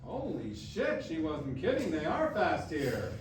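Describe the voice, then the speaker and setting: Playful, loving grandpa acted by a man, high-pitched and swooping, emphatic stage delivery, caught from across the room. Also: wildly swooping pitch, measured pace. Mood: playful, teasing. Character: playful, loud, loving grandfather back from death. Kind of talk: staged musical reading, acted dialogue. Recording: distant, echoey room with faint noise.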